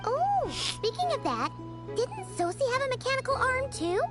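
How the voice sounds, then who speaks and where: A woman's voice: very high voice, sing-song, moderate pace. A woman voicing a small, chirpy, childlike female fairy companion in a video game, curious and talkative. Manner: perky, refers to self in third person. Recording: music under the voice.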